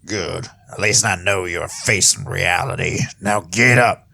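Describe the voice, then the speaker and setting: A man's voice: sits low, a little slow, vocal fry, bright, forceful tone, exaggerated voice. A man voicing a stern, blunt and commanding griffin lawman in an audio drama.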